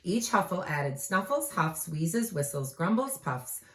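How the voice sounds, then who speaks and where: A woman reading a children's storybook aloud with expressive delivery, pausing to prompt young listeners. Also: on the low side. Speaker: teacher. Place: room at home. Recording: echoey home room.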